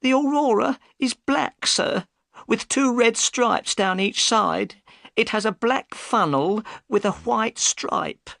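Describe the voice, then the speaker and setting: A man's voice: very high voice, slow pace, vocal fry, fairly bright tone, dry recording, studio quality, pitched above the performer's own voice, heavily acted. A man voicing a worried, upset boatman's wife, plaintive and deferential, in an audiobook story. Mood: subdued, polite.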